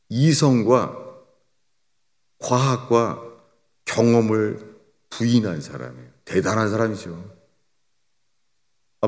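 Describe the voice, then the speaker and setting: Lively-voiced older pastor in a reverberant church. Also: male voice. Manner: swings between raised emphatic lines and low quiet drops. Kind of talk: sermon. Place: church sanctuary.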